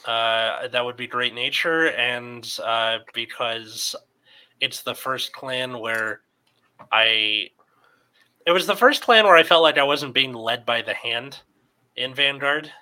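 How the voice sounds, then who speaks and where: Man, late twenties, podcast host, rambling casual chat, very bright voice. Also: medium pitch, slow pace, low-quality recording. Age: 20s. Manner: loose, rambling, with fillers and swearing.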